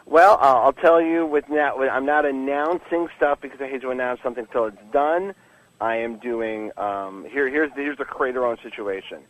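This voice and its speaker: A man's voice: medium pitch, normal speed, poor audio. A man speaking casually and candidly as a guest in a podcast interview, rambling with frequent false starts and a joking streak. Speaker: comic book writer being interviewed.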